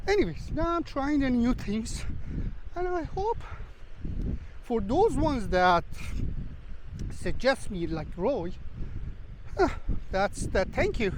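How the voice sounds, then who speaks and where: A man with a strikingly high voice rambles to his viewers as he walks along the shore. His English is loose and broken, and his talk is chatty. Wind buffets the handheld camera mic throughout. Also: very animated, takes it slowly, pauses often. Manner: chatty, non-native English with broken grammar. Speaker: travel vlogger. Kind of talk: casual walking vlog chat. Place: outdoors on a windy beach. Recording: wind noise on the mic outdoors. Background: wind and outdoor ambience.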